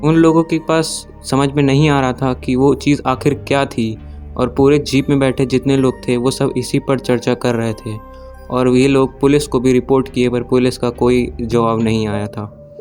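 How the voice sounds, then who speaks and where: A man telling stories in an informal narration, with halting phrasing as he corrects himself. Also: mid-range voice, a little mellow. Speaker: YouTube narrator. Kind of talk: informal storytelling narration of alien abduction cases. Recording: music behind the voice. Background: background music.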